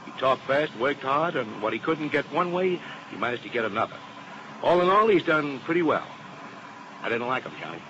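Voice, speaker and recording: An actor playing an earnest, uneasy insurance agent in a 1950s radio drama, heard on a muffled, hissy old broadcast. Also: male voice, medium pitch, big pitch swings, normal speed, sharp tone. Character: worried male insurance agent, earnest partner.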